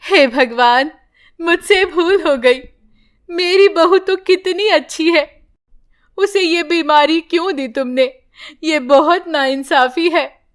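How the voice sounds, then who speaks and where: A woman's voice: very high-pitched, slow, pitched above the performer's own voice. A woman voicing an old grandmother who complains and pleads anxiously. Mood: pleading. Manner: pleading, lamenting.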